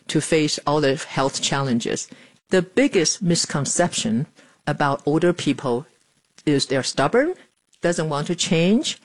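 A woman, speaking plainly and earnestly in an interview, with pauses and fillers. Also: deep voice, dry recording. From Taiwan. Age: 70s. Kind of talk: documentary interview. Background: music.

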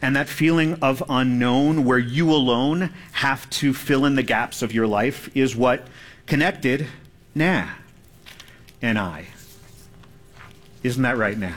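A man's voice: mid-range voice, slow pace, pauses often, fairly bright tone. Earnest man telling a personal story. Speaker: speaker. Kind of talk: prepared speech to an audience.

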